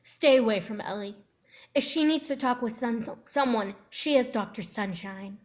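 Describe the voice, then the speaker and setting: An indignant mother, played by a woman in a dramatic reading, with a fairly high voice. She is clipped and confrontational, fiercely protective of her child. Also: moderate pace, darkish tone, natural voice. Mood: stern. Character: angry protective mother of a nine-year-old girl.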